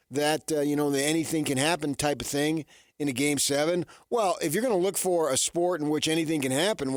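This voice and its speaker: A man hosts sports talk in a dry, close studio sound. His pitch sits in the middle range. He rambles casually through his thoughts, posing questions and then answering them himself. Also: no room sound. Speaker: sports radio host. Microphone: studio radio microphone.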